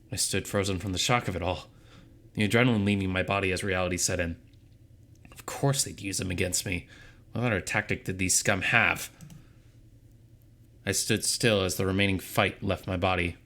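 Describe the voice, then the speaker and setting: A man's voice: sits low, fairly bright tone. A man reading dramatic first-person story narration from a visual novel script.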